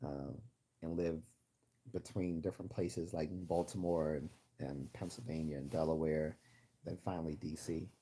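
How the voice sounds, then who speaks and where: A man's voice: steady tone, moderate pace. A man with a generic Midwestern American accent chatting casually and giving his opinions as host of a livestream. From United States. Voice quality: deep, husky, mellow.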